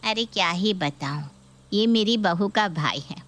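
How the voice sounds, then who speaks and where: A woman's voice: mid-range voice, expressive, unhurried, fairly bright tone. A woman playing a middle-aged mother-in-law who is fawning, anxious and nagging, in a dramatised story. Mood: anxious, complaining. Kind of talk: dramatised Hindi story video.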